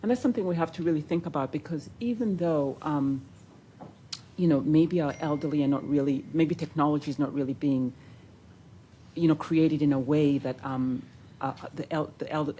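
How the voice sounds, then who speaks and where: Low-voiced hesitant woman from Uganda. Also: mellow, a little hiss or hum. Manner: hesitant, many fillers and restarts. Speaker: pharmaceutical industry panelist. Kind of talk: roundtable reflections. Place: conference room.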